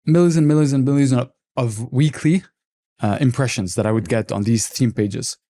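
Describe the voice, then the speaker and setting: A man in his twenties from Lebanon, a podcast guest telling his story in a relaxed, candid, reflective way. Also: medium pitch, moderate pace. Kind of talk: casual interview talk.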